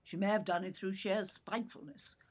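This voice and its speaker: A woman's voice: medium pitch, steady tone, a little fast, close to an everyday voice. An actress playing an older English mother in an audio play, indignant and brusque, condescending as she defends her son. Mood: scornful. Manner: clipped, brusque, condescending, calls listener "dear".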